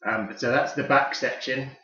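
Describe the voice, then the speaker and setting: A man's voice: medium pitch, fairly even intonation, moderate pace, pushed and bright. A British man giving a casual, unscripted product review as host, chatty and informal like an enthusiastic fan. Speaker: toy reviewer and video host. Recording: echoey room, slightly dull sound.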